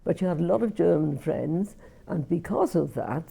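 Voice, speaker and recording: An older woman with a Welsh accent, recalling her family in an interview, often halting and repeating words as she speaks. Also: fairly low pitch, lively intonation, creaky, dark tone, husky. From Wales. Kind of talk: reminiscing interview.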